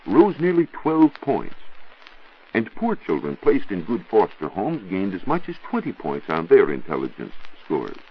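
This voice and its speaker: American man, 1940s radio science explainer. Husky, animated delivery, didactic and formal, dull vintage recording. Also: sits low. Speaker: science reporter on a radio show. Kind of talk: scripted 1940s educational radio talk. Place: radio studio.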